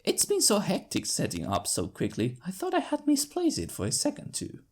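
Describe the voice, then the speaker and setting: A man's voice: high-pitched, wildly swooping pitch, normal speed, darkish tone, pitched above the performer's own voice, full character voice. A man voicing a polite, flustered and evasive pony clockmaker in a cartoon fan story.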